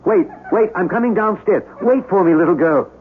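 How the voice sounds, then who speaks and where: An actor playing an earnest, agitated scientist who doubts his sanity, with halting, insistent, formal speech in a 1970s radio drama. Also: high-pitched, background noise, close to an everyday voice. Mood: excited, urgent. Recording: muffled vintage radio broadcast recording.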